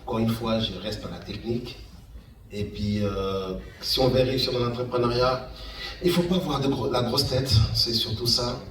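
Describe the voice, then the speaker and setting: A middle-aged man gives an informal talk as an entrepreneur, in a halting way, repeating words for emphasis. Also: medium pitch, fairly bright tone. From Democratic Republic of the Congo, Kananga. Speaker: guest speaker, engineer and entrepreneur. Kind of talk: informal public talk recounting a career path. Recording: echoey room, distant mic, faint noise.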